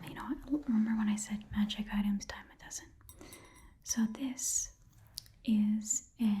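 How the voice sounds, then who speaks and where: A friendly, slightly odd goblin shopkeeper, played by a woman, murmurs in a breathy whisper for an ASMR roleplay. The tone is soft and dark, with nothing sharp in it. The goblin rambles and hesitates in a chatty, courteous way, like a small-time pawnbroker dealing with a customer. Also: mid-range voice, natural voice. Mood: chatty, musing. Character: friendly, odd little goblin pawnshop keeper named Pick. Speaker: ASMR performer.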